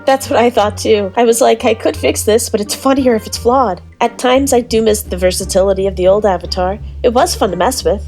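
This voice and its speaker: A woman's voice: a little high, a little fast, few pauses, a little mellow, faint music behind, natural voice. A woman voicing a polite, easygoing streamer replying in chat, casual and agreeable, in a dramatized reading of messages. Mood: amused.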